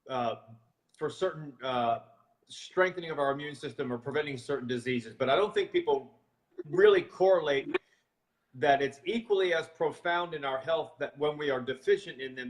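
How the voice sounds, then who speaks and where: A man hosting a live online health chat over a video call, rambling and restarting his sentences. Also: low-quality recording. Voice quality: booming voice. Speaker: host.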